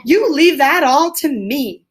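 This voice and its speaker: A woman voicing a hearty, masterful male rat from a storybook, with a brisk, commanding and exclamatory manner. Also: sits high, animated, voice pushed up.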